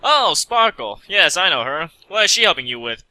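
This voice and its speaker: A man's voice: on the high side, big pitch swings, moderate pace, bright, forceful tone, dry recording, pitched above the performer's own voice, full character voice, low-quality recording. A man voicing an elegant, haughty female pony seamstress in a prim, businesslike manner for a fan story reading. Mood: prim, brisk.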